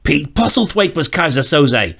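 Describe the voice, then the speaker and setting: Dry, sarcastic man riffing, lively pitch. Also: moderate pace, creaky, over music. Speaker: comic riffing commentator. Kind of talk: wisecracking commentary over an audio drama.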